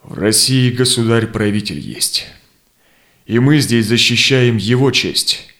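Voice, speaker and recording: An actor playing a steadfast, conciliatory Cossack envoy in a verse audio drama, speaking in measured, persuasive declamation. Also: male voice, medium pitch, a bit bright, close to an everyday voice. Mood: reasoning, persuasive. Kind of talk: theatrical verse drama acting.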